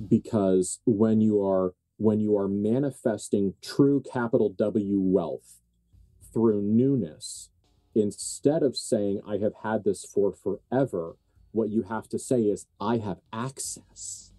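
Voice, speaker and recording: Dark-voiced man narrating a tabletop game. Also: lowish voice, slow pace, no room sound, faint music behind. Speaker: game master.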